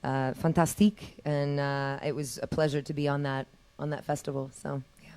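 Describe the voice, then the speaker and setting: A woman's voice: sits low, level tone, moderate pace, dark tone, clear, resonant tone. A woman musician chatting casually in a TV studio interview, easygoing and sprinkling in French words. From Texas, USA. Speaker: musician guest.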